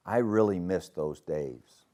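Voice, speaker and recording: A man's voice: sits low, slow pace, dark tone. A man voicing a kindly, nostalgic grandmother in a children's storybook, reminiscing fondly. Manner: fond, reminiscing.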